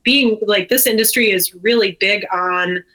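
Bright-voiced woman in casual conversation. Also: medium pitch, unhurried. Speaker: guest, brewery co-owner.

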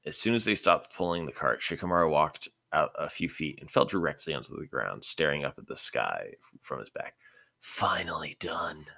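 A man's voice: extremely low pitch, expressive, brisk, creaky, hardly any pauses, bright, forceful tone, no room sound. A man reading a story aloud as scripted narration, stumbling over words now and then and rereading phrases. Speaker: reader of a fan fiction audiobook.

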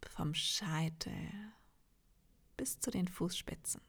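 A woman leading a guided meditation in a soft, half-whispered, soothing voice, leaving long pauses between phrases. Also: fairly low pitch, gravelly, slightly rough. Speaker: yoga nidra meditation guide.

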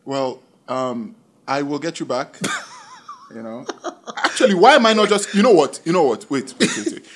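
A man's voice: a little high, very animated, moderate pace, a bit bright, a little hiss or hum. A man co-hosting a podcast, chatting casually and jokingly with a friend. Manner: playful, joking. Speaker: podcast co-host.

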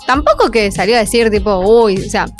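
A woman with a Rioplatense Spanish accent co-hosting a radio chat in a relaxed, easygoing way. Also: medium pitch, brisk, faint music behind. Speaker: radio co-host. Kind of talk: casual radio panel chat.

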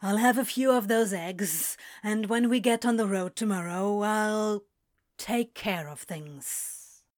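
A woman acts out a sly male snake from a fantasy audio drama. He grumbles and dodges in a very slow drawl, stretching his vowels at a middling pitch. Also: natural voice. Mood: casual, evasive. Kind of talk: acted fiction podcast dialogue.

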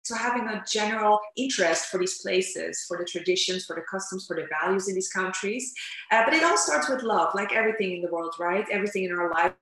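A woman's voice: medium pitch, fast. Woman guest in an echoey room. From Turkey, raised in the Netherlands. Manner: animated storytelling with frequent fillers. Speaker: interpreter and language coach guest. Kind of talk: conversational interview answers.

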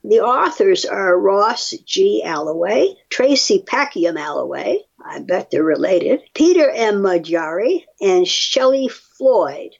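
A woman's voice: mid-range voice, sing-song, slow, vocal fry, hardly any pauses, husky. An older woman reading aloud and explaining in plain, wry, chatty asides, on a slightly dull, muffled recording. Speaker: guest reading and commenting on research studies.

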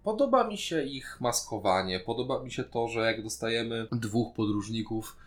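A man's voice: medium pitch, lively intonation, moderate pace. Male co-host, middle register, thoughtful explanatory delivery, casual review conversation. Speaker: podcast co-host.

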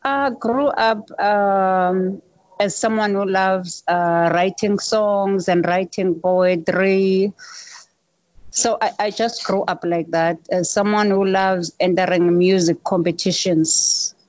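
Woman, musician guest; slow, simple, repetitive answers, close and dry. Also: medium pitch, fairly even intonation, a little mellow, dry recording, low-quality recording. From South Africa. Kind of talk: interview answers.

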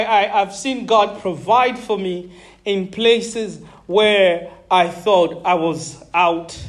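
High-pitched guy from zambia preaching, keeps asking the crowd if they're with him, repeats stuff and chuckles. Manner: emphatic, calls out to the congregation; repeats words, laughs between points. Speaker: pastor. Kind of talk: sermon.

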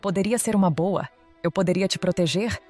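A woman with a Brazilian accent playing a pragmatic, persuasive teenage schoolgirl in audio drama, with measured delivery. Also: fairly low pitch, darkish tone, faint music behind, lightly acted.

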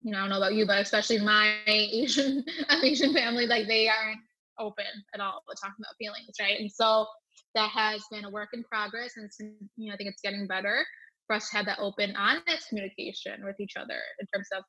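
A woman's voice: medium pitch, steady tone, fast, bright, forceful tone, rough recording. A young woman who grew up with Thai as her first language, speaking earnestly as a guest in an interview and often checking in with "right?". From United States. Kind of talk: reflective personal interview answer.

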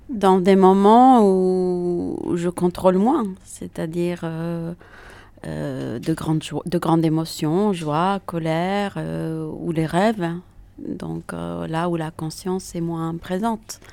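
Woman, middle-aged, very slow, gravelly, mellow low voice, thoughtful and hesitant. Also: dry recording. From Italy. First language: Italian. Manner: thoughtful, unhurried, frequent hesitations. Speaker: interviewee. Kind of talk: reflective personal testimony.

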